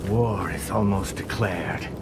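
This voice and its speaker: A man's voice: low voice, slow pace, heavy background noise. An actor playing a solemn, menacing male elf soldier in a video game, speaking in a portentous way. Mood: grave.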